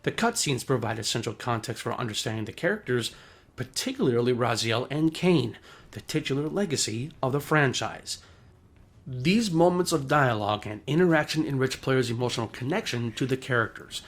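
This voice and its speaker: A male narrator reads a scripted video essay. His voice sits at a medium pitch, and his delivery is polished and formal, explaining each point in full sentences. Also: lively intonation, moderate pace.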